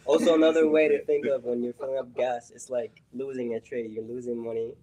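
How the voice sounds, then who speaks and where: A panelist on a casual trading show who thinks through an idea out loud. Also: male voice, medium pitch, flat delivery, brisk, highs cut off, a crowd in the background. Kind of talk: casual chat.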